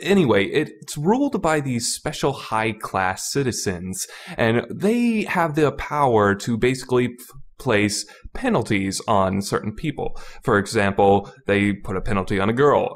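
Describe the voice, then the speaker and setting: A man with a fairly low voice talks through a casual, unscripted review. He hosts like an amateur podcaster, wandering through his points, repeating phrases for emphasis and correcting himself as he goes. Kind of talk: casual unscripted video game review commentary.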